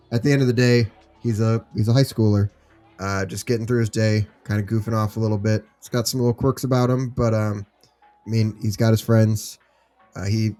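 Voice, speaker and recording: A man playing an easygoing, concerned high school teacher in a role-played case study, speaking informally and hesitantly with many fillers. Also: dark tone, lightly acted. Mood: easygoing, reassuring.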